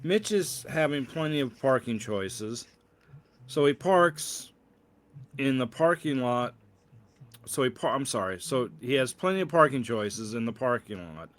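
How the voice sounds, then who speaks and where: A male author narrates his own written story aloud at a middle pitch. His delivery is a halting read-aloud, with repeated words and small self-corrections as he works through the sentences. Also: animated, fairly bright tone.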